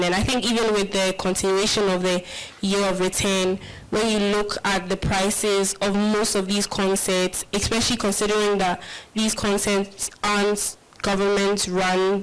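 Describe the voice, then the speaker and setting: A woman speaking on a panel in a measured way, often hedging her points. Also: mid-range voice, poor audio. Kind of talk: panel discussion.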